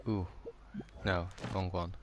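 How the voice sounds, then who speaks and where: A man with a fairly low voice talks casually as a game streamer. He drops short, offhand remarks to himself while game music plays behind him. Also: little pitch movement, takes it slowly. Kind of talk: casual gameplay commentary.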